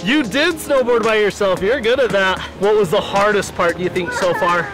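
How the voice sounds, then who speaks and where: A man's voice: high voice, normal speed, music in the background. A man in his thirties filming a casual vlog outdoors on a ski slope, encouraging and cheering on his young daughter as he coaches her. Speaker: vlogger dad teaching his daughter. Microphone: handheld or action camera.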